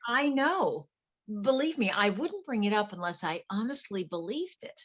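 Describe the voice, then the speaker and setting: A woman's voice: mid-range voice, sing-song, moderate pace, fairly bright tone, lo-fi sound. A woman playing a wealthy, anxious wife in a play reading, earnest, polite and flustered. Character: wealthy, anxious wife confiding fears about her husband.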